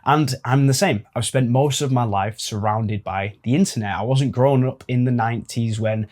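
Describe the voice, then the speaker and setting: A man's voice: medium pitch, moderate pace, darkish tone. A man, talking casually to viewers with informal fillers and swearing. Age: 20s. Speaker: host. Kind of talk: casual advice talk to camera.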